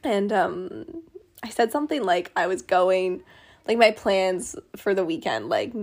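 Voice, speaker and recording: A woman's voice: mid-range voice, lively intonation, measured pace. A young woman co-hosting a casual chat podcast, teasing and laughing often. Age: young adult. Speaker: podcast co-host.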